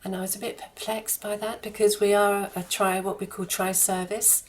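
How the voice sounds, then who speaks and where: A woman's voice: medium pitch, flat delivery, moderate pace, slight background noise. A woman giving conversational interview answers as a charity guest, phrasing her thoughts in a considered, hesitant way with repeated words.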